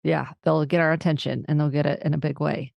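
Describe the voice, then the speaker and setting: A woman's voice: deep voice, level tone, fairly quick, creaky, no room sound, highs cut off. A woman hosting a conversational interview podcast, affirming her guest and repeating phrases for emphasis. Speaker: podcast host, therapist.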